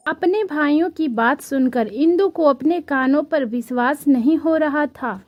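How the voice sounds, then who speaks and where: A woman narrates a children's story from a script. Her voice is high and her pace slow, recorded dry with a slightly dull sound. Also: no room sound.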